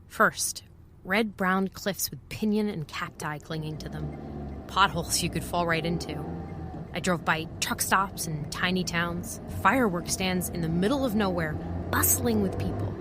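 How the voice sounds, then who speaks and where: A woman's voice: lowish voice, normal speed, background noise, natural voice. An actress playing a wry, world-weary woman who narrates a spoken travel journal in an audio drama, reflective, often in clipped fragments. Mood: reflective, observant.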